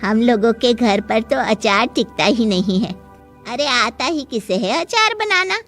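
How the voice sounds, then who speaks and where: A playful middle-aged mum, played by a woman, speaks slowly in a fairly high voice. She is good-natured and teasing, with story music underneath. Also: lively intonation, a bit bright. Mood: jovial.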